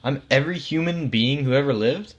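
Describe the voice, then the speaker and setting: A man's voice: mid-range voice, a little fast, pitched above the performer's own voice, lightly acted. A bewildered middle-aged dead man in a storybook tale acted by a male reader. He sounds puzzled and questioning, in a mid-range male voice. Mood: incredulous.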